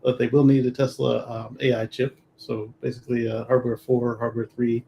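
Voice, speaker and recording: A man's voice: mid-range voice, little pitch movement, moderate pace, creaky, mellow. A man co-hosting a discussion podcast, offering casual, conversational commentary. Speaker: podcast co-host. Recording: faint background noise.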